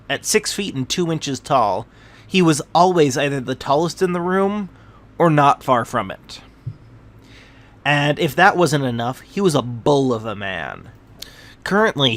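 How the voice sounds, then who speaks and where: A man's voice: mid-range voice, a bit bright. A man reading a scripted fantasy tale aloud as its narrator.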